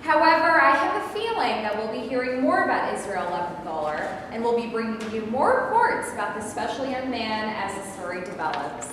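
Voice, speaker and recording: A woman plays a chirpy, probing TV reporter in a stage play. Her high, very lively voice has a presentational manner and carries from across an echoing hall. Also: brisk, hardly any pauses. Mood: announcing. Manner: animated, presentational. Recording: very echoey hall, distant mic, noisy.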